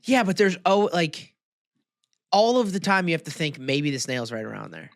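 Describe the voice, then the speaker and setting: A man's voice: fairly high pitch, moderate pace, vocal fry, dry recording, studio quality. A man co-hosting a podcast in casual banter, with slangy, bro-heavy speech. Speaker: podcast co-host. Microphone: close podcast microphone.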